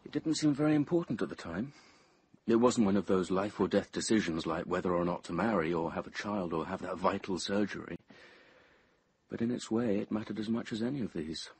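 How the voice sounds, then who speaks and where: A man's voice: sits low, fairly quick, a little mellow, breathy edge, no echo, full character voice. An actor playing a reserved young English man in a radio drama, understated and reflective as narrator and character. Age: young adult. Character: reserved, squeamish young man recalling his family's past.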